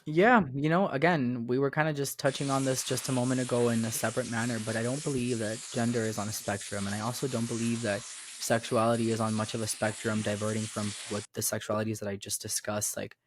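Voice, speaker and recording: A man's voice: mid-range voice, level tone, quick pace, few pauses, background noise. A young man raised in Miami, a podcast guest talking casually and rambling with many fillers and repeated phrases. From Florida, raised in Miami. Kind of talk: casual conversation.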